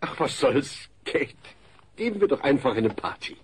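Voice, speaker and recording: A man's voice: mid-range voice, very animated, moderate pace, lightly acted. Man as exasperated suburban dad, faint hiss. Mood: resigned. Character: exasperated, polite suburban family father. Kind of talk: German sitcom audio play dub. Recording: noisy old cassette recording.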